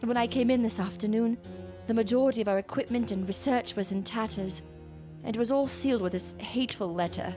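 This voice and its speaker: Lady playing a disillusioned scientist woman, proper measured speech, audio drama with faint music. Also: fairly high pitch, natural voice. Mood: dejected. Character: weary, disillusioned female doctor of science. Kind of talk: period audio drama acting.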